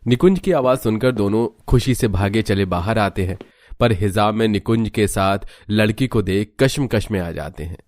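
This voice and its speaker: A man's voice: fairly low pitch, normal speed, darkish tone, no echo, studio quality. A man narrating a scripted family story in storybook style.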